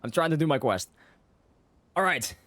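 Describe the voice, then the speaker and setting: A man's voice: fairly high pitch, animated, normal speed, fairly bright tone, dry recording. A man giving casual, playful live gameplay commentary as a streamer, often repeating his phrases. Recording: game music behind the voice. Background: video game music.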